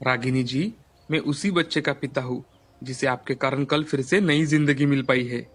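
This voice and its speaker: A man's voice: medium pitch, fairly even intonation, normal speed, natural voice. An actor playing a humble, earnest man in a moral story, speaking politely and pleadingly. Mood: apologetic, grateful. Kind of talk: Hindi moral-story voice acting.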